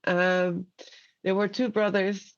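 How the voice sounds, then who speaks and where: A woman's voice: medium pitch, flat delivery, slow. Woman, podcast guest, playful, easy laughter, muffled lo-fi audio. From Netherlands. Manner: laughs easily, chatty, playful. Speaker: guest, former student. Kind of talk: casual conversation.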